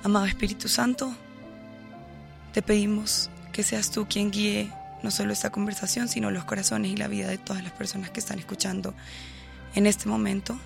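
A young woman from Bogotá with a Colombian Caribbean coast accent, sharing her faith testimony and leading a prayer in a soft, earnest voice. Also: medium pitch, normal speed, creaky, over music. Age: 20s. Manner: earnest, leads prayer in a soft steady cadence. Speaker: guest giving testimony. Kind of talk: casual chat and spoken prayer.

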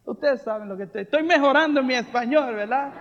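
A man preaching a charismatic sermon, fervent and rising to shouted refrains, with joking asides and soft laughs. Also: very high voice, quick pace, background noise. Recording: reverberant hall, dull lo-fi sound.

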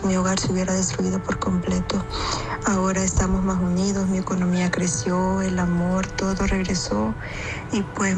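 Creaky, even-toned Salvadoran woman testifying. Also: mid-range voice. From San Miguel, El Salvador. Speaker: client giving a testimonial. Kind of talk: personal testimonial. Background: music.

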